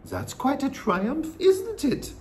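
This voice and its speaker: A man plays a wise old grandmother from a storybook. The delivery is soft, hushed and unhurried, with a calm, knowing temper. The words come in a measured, reassuring way. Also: very high voice, slight background noise, voice pushed up, exaggerated voice. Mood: calmly knowing.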